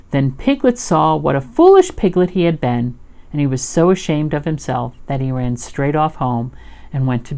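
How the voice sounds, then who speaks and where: Low-voiced, animated woman storyteller. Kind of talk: expressive children's story narration.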